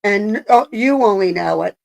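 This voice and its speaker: A woman hosting a casual live stream, raspy-voiced after a coughing spell, rambling with lots of ums and likes. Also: mid-range voice, animated, normal speed, a little mellow, rough recording. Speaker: streamer host.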